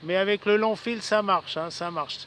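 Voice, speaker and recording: High-voiced man on ham radio outdoors. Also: moderate pace, a bit bright. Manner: chatty, repeats himself, frequent tags. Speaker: amateur radio operator. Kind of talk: casual ham radio exchange. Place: outdoors in a city park. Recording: outdoor recording with faint ambient noise.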